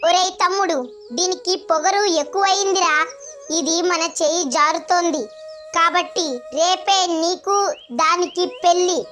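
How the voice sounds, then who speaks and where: A woman voicing a cruel, bossy, scolding stepmother in an animated children's story. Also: extremely high pitch, unhurried, faint music behind, full character voice.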